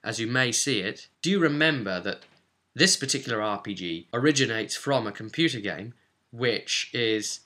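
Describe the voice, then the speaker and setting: A man presents an informal tutorial in a bright, forceful voice of average pitch. His delivery is conversational and chatty, like someone talking a newcomer through the rules, checking in as he goes. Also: expressive, moderate pace. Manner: chatty, checks in with "okay?" and "right?". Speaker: presenter of a tabletop roleplay primer.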